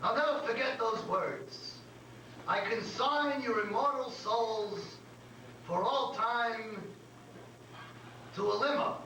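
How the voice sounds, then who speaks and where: A man playing a grumbling, slightly dim old comedy partner, deadpan and complaining in a staged reading. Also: extremely high pitch, slow, long pauses, heavily acted. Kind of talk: staged reading before an audience. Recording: echoey, distant, noisy live recording.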